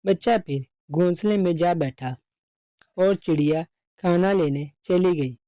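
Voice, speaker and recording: A woman's voice: fairly low pitch, a little slow, warm tone, no echo. A woman narrating a scripted children's story as its storyteller.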